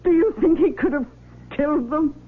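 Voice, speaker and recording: An actress playing a distraught, fearful small-town mother in a melodramatic radio drama, with a husky voice. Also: high-pitched, moderate pace, soft background music, lightly acted. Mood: panicked. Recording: tinny old radio broadcast.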